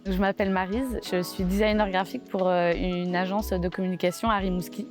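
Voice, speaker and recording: A woman's voice: mid-range voice, steady tone, fairly quick, music in the background. A young woman from France with a French accent, telling her story in a casual interview with many fillers and small laughs. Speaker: interviewee, graphic designer.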